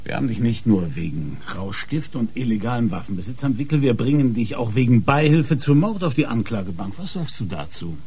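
An actor playing a hard, sarcastic police inspector grilling suspects in a radio crime drama, pressing and mocking. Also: male voice, medium pitch, very animated, a little fast, hardly any pauses, darkish tone, breathy edge. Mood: aggressive, insistent.

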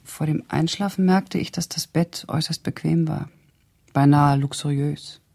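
Husky, gravelly older woman. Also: low-pitched, steady tone, dark tone. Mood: reflective, bitter. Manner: blunt, sardonic, deliberate storytelling. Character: older Russian woman, a revolutionary's loyal, indignant old friend. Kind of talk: 1970s German radio drama, recounting memories.

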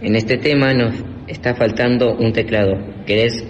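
A man's voice: mid-range voice, fairly even intonation, normal speed, muffled recording, close to an everyday voice. A teenage male student with a Rioplatense accent playing a cocky, joking young bandmate in an amateur school radio play. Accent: Rioplatense Argentine. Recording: reverberant hall with clear ambient noise.

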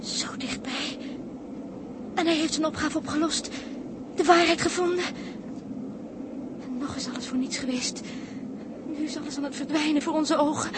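Actress as emotional, fretful woman, very high voice, breathless drama, noisy sixties radio sound. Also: fairly even intonation, moderate pace, pauses often, natural voice. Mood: despairing. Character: anxious emotional woman watching a doomed comrade. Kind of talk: 1960s Dutch radio drama acting. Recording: noisy 1960s radio recording.

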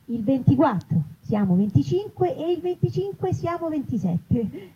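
A woman's voice: high-pitched, sing-song, moderate pace, warm tone. A woman voicing a chatty old woman who explains herself insistently, in a live comic reading. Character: chatty old woman fussing over holiday guest numbers. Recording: dull, noisy live event recording.

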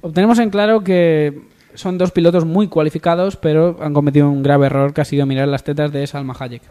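A man's voice: on the high side, fairly even intonation, fairly quick, warm tone, clear, resonant tone. A man co-hosting a radio comedy show with mock-serious banter. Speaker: radio co-host.

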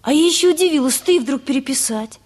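A woman's voice: sits high, animated, fast talker, a little mellow. An actress playing a tender, eager teenage girl in love in a radio play, artless and dreamy. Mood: teasing, candid. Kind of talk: Russian radio play acting.

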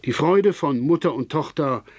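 An actor playing a formal radio announcer who reads a scripted bulletin in a measured way. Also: male voice, on the high side, expressive, husky, no room sound, highs cut off. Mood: formal, solemn. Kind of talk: scripted radio news reading.

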